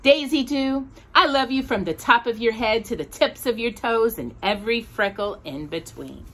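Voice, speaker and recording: A woman's voice: on the high side, moderate pace, a bit bright. A woman voicing a loving, playful father speaking to his little daughter in a children's storybook. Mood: affectionate. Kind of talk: children's picture book read aloud.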